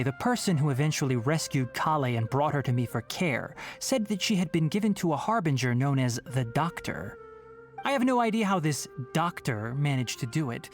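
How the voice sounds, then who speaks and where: An actor playing a calm, scholarly young male forest ranger in a video game, speaking in an articulate, measured way. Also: a little high. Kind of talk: scripted video game dialogue. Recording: music behind the voice.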